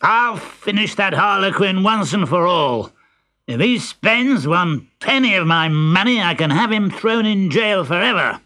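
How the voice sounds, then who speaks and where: A man's voice: sits high, animated, unhurried, sharp tone, voice pushed up, full character voice. A man voicing an outraged, vengeful older father with a blustering, indignant manner.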